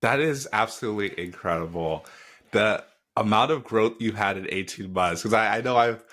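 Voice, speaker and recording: A man with a fairly low voice hosts an interview podcast over a video call. He is affirming and easygoing, quick to answer his guest with "wow" and "absolutely". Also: fairly bright tone. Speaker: podcast host. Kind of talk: casual interview hosting.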